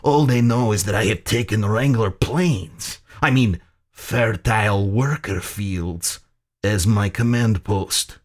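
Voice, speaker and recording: Man acting an oily, gloating general, slow and menacing. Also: on the low side. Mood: boastful. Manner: sultry, theatrical, deliberate.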